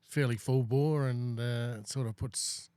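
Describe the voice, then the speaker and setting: A man's voice: medium pitch, fairly even intonation, measured pace, a little mellow, clean tone, no room sound. A middle-aged man hosting a casual podcast chat, talking in long rambling runs full of fillers. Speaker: podcast host.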